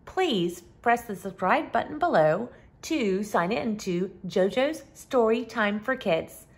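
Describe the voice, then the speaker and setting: A woman hosting a children's story time, reading a picture book aloud expressively, with emphatic, drawn-out words. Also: medium pitch, expressive, breathy edge.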